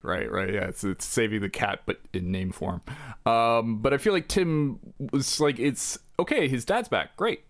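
A young man co-hosting a podcast with a very lively, swooping delivery. He jokes and pokes fun at himself, and his voice sits at a middle pitch for a man. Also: normal speed. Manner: animated, joking, self-deprecating. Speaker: podcast co-host. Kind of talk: casual chat.

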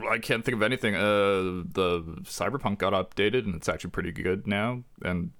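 A man's voice: on the low side, moderate pace, a bit bright. A man in his forties co-hosting a casual gaming podcast, bantering with self-mocking humor. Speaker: podcast co-host. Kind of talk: casual chat.

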